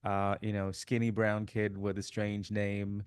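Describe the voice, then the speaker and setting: A man in his fifties, originally from Sri Lanka, telling his life story as an interview guest, with relaxed fillers. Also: fairly low pitch, a little slow, clear, resonant tone, no room sound, studio quality. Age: 50s. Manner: warm storytelling, reflective, many fillers. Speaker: guest, author. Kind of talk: casual interview chat.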